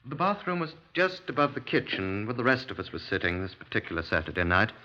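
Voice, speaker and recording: An actor playing an earnest, agitated gentleman witness in a 1950s radio drama, hesitant, wordy and apologetic. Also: on the low side, sing-song, quick pace. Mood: recollecting. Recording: dull 1950s radio recording.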